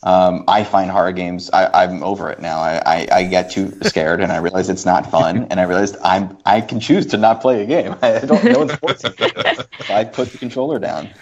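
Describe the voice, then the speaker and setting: A man in a casual, opinionated discussion, thinking aloud in a halting but emphatic way and rephrasing as he goes. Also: low-pitched. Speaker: podcast guest. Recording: dull lo-fi podcast audio.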